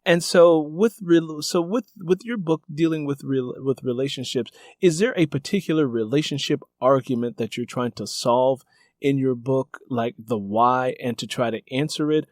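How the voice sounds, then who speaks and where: Male host, mid-pitched voice, dry recording, conversational interview style, discursive questioning. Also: normal speed, a little mellow, dry recording.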